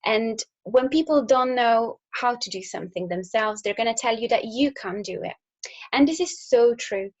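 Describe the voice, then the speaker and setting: A woman's voice: a little high, moderate pace, a little mellow, highs cut off. A young woman from Italy with an Italian accent, talking casually to school pupils, with fillers and restarts. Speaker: engineer. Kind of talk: recorded talk to school pupils.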